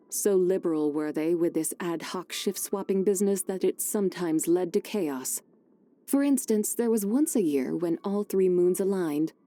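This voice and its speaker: Dark, mellow-voiced serene ancient immortal played by a woman. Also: on the low side, lightly acted. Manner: formal, measured, genteel old-fashioned phrasing. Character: serene, courteous ancient female adeptus, wise and wistful. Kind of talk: scripted game character voice lines.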